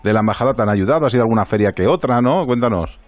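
A man's voice: medium pitch, expressive, rapid-fire, no echo. A man with an accent from Spain hosting a radio interview in a chatty, anecdotal, conversational way. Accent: Spanish, from Spain. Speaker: radio show host.

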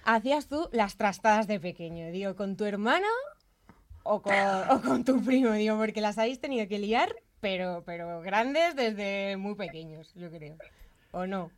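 A woman co-hosting a podcast, chatting playfully with quick reactions. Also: mid-range voice, expressive, slight background noise. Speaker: podcast co-host. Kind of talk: casual podcast chat.

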